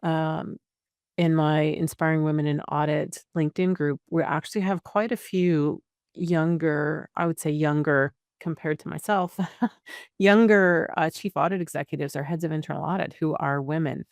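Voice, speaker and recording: A woman's voice: sits low, fairly even intonation, normal speed, clean tone, no room sound, clean studio sound. A middle-aged woman hosting a podcast interview, chatting in a conversational, encouraging way. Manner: conversational, encouraging, with frequent fillers and restarts. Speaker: podcast host. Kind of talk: casual interview chat.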